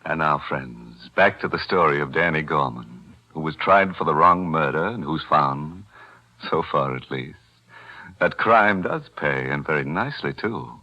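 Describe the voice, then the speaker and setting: Deep, sardonic male horror radio host. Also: animated, a little slow, bright, forceful tone. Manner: sardonic, macabre joking. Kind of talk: 1940s radio horror hosting, scripted narration. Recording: muffled 1940s radio recording.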